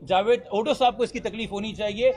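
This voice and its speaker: High-pitched Pakistani man, formal and emphatic. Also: a little fast, a little mellow, a little hiss or hum. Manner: articulate, formal, emphatic, mixing in English legal terms. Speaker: lawyer speaking to reporters. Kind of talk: press statement answering reporters' questions.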